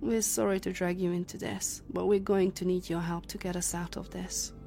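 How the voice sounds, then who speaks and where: Sci-fi audio drama: actress as female time-traveler leader, mellow, measured, in command, light music bed. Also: lowish voice, level tone, vocal fry. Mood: grave, explaining. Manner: measured, authoritative, explanatory. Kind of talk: science fiction audio drama acting.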